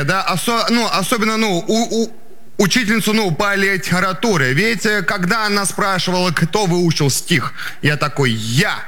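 High-voiced, slow, stuttering man. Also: pushed and bright, a little hiss or hum. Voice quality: pronounced stutter. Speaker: stand-up comedian. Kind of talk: live stand-up.

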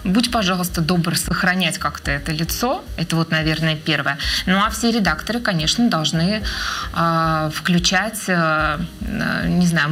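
A woman being interviewed as a researcher of children's radio, speaking in a measured, thoughtful way with frequent hesitation fillers. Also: fairly low pitch, a bit bright. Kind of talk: interview answers.

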